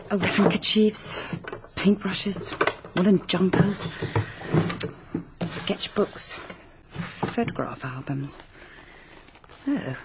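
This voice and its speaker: Brisk Englishwoman, lowish pitch, very expressive, crisp and businesslike, radio mystery drama. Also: a little hiss or hum. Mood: musing. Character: brisk, practical, unimaginative married Englishwoman.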